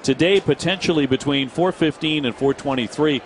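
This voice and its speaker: A man's voice: a little high, background noise. A man calling a baseball game as play-by-play announcer, chatting with his partner and reading promos. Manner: brisk, conversational, reads promos. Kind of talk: baseball broadcast commentary. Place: ballpark broadcast booth.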